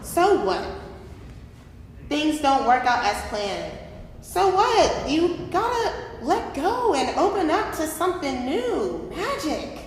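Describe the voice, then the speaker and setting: A woman's voice: high voice, big pitch swings, slow pace, exaggerated voice. A woman playing a doting, outspoken mother in a staged play reading, coaxing and folksy, with an emphatic delivery. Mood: brash, insistent. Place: live staged reading in a reverberant room. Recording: very echoey hall, some background noise.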